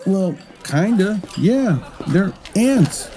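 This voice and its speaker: A man's voice: high voice, big pitch swings, extremely slow, mellow, heavily acted. A man acting in an audio drama as a brash, argumentative friend who debates in a glib, provocative, conversational way. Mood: teasing debate. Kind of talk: naturalistic audio drama dialogue.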